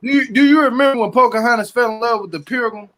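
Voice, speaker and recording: High bright voiced guy joking around and cussing, casual recap chat. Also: fast talker. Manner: loose joking storyteller, slangy and profane. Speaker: host. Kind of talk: casual group chat, reality show recap.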